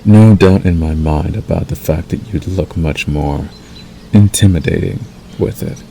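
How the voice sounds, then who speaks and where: Man acting a very deep, gravelly possessive werewolf lover. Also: a little hiss or hum. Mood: teasing, possessive. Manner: slow, soothing, coaxing with dark undertone. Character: possessive male werewolf lover, menacing yet tender. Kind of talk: intimate ASMR roleplay.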